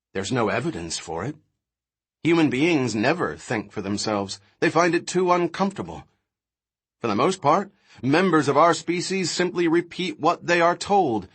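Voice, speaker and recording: A man's voice: medium pitch, a bit bright, husky. A man voicing a blunt, contemptuous male scientist in an audiobook, declaiming with sardonic emphasis.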